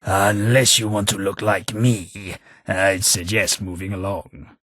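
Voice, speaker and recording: An actor playing a sardonic, well-spoken tithe collector in a video game, with a husky, understated delivery and dry humour. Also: male voice, sits low, flat delivery, slow pace, a bit bright, exaggerated voice. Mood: wry. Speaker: game companion character. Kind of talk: game voice lines.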